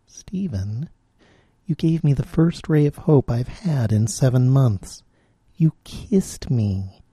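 A man voicing a lonely male enchanted frog who speaks gently and earnestly. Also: mid-range voice, lively intonation, takes it slowly, slightly rough, no room sound. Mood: gentle earnest.

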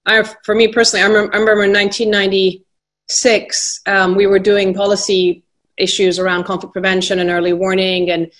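A woman's voice: medium pitch, level tone, brisk, a bit bright. A woman moderating a panel discussion, talking with many hesitant restarts.

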